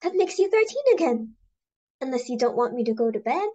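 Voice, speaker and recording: A timid daughter of a grand household acted by a woman with a high, girlish pitch. She speaks meekly and obligingly, placating whoever she addresses, in an amateur dramatic reading. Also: very animated, brisk, dark tone, muffled recording. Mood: apologetic. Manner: meek, placating. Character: timid, obliging young daughter of a society hostess.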